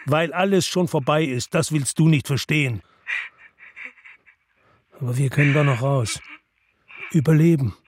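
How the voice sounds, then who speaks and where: An actor playing an embittered, defiant noir hero in a German radio drama, speaking in a terse, grim, weary way. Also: male voice, on the high side, a little slow, long pauses, slightly rough, no echo. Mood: weary, pleading. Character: embittered, defiant noir hero telling his own story. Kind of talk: German radio drama acting and first-person narration.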